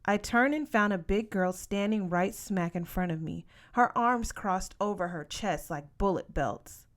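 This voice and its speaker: A woman's voice: medium pitch, unhurried. Woman reading a story aloud. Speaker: audiobook reader. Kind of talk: expressive first-person story narration.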